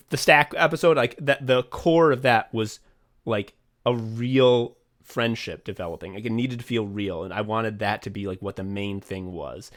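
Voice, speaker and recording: A man's voice: lowish voice, fairly bright tone. A man co-hosting a podcast, chatting casually, rambling with frequent swearing, fillers and restarts. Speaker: podcast co-host and writer.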